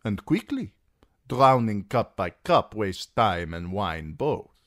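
A man's voice: lowish voice, very animated, a bit bright, dry recording, studio quality, pitched above the performer's own voice, full character voice. A man voicing a smug, jovial fat merchant. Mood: mocking. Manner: genial, mocking, drawn-out, theatrical. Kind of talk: audiobook character reading.

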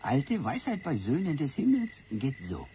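Solemn Chinese sage for radio drama; highish, gravelly voice, broken phrasing, deliberate storytelling. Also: male voice, wildly swooping pitch, dark tone, slight background noise. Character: Chinese sage-like man telling an old fable, solemn. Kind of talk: radio play acting.

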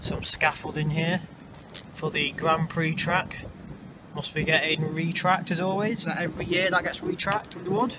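A man's voice: on the high side, little pitch movement. Chatty man walking outdoors. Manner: chatty, explanatory, a bit halting. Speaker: vlogger. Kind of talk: walking tour commentary. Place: outdoors in urban streets and underpass. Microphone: handheld camera mic. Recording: noisy outdoor street sound. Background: traffic and street ambience.

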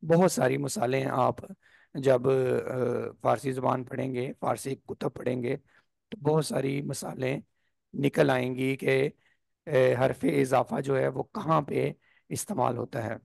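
A man with a sore throat teaching a language class over a video call, explaining slowly and clearing his throat often. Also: medium pitch, fairly even intonation, a little mellow, dry recording, clean studio sound. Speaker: Persian teacher. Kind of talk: online class lesson.

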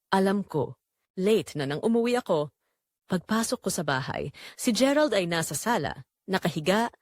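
Woman narrator, scripted confessional storytelling, animated intonation, dry close sound. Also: mid-range voice, no room sound. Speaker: narrator of an audio drama, reading as the wife.

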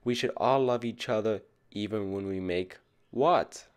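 A man's voice: fairly low pitch, a little slow, clear, resonant tone. A man reading a picture book aloud to children, addressing them like a teacher. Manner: asks young listeners questions as a teacher would. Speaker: storybook reader for children.

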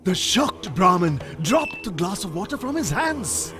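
Animated male children's story narrator. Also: high-pitched, unhurried, over music. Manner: dramatic storytelling.

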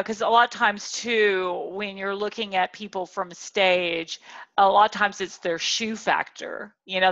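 A woman hosting a casual chat, talking in an animated way and often laughing as she tells her stories. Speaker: talk show host. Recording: dull, muffled low-fidelity audio.

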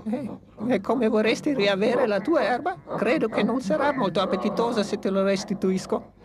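A woman voicing an old, wise male dinosaur inventor in a cartoon, speaking calmly and gently. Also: on the high side, moderate pace, a loud crowd, natural voice. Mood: gentle. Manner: calm, reasoning, gentle.

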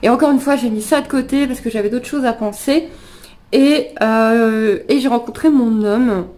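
A woman's voice: a little high, moderate pace, a little mellow. A woman in her thirties with a slight cold, chattily telling personal stories to camera and often interrupting herself. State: slightly ill with sniffles. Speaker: animal vlogger. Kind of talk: casual personal storytelling to camera. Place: living room at home, indoors.

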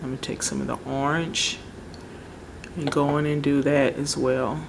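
A woman casually talking through a home nail tutorial, relaxed and chatty, often searching for words. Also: sits low, moderate pace, creaky, noisy background. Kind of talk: casual how-to narration. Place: home table, indoors.

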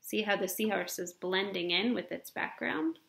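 A young adult woman reading a children's picture book aloud in an expressive, deliberate storybook delivery, recorded in a room at home. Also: medium pitch, gravelly. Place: small indoor room at home. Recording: roomy, echoing home webcam recording.

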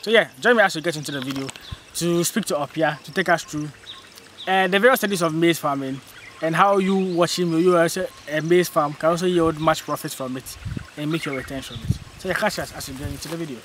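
Upbeat young Ghanaian man, higher voice. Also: fairly quick, a bit bright, a little hiss or hum. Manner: upbeat, chatty, pleads with viewers. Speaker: farming channel host. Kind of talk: casual outdoor vlog interview. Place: open farm field outdoors. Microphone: handheld phone.

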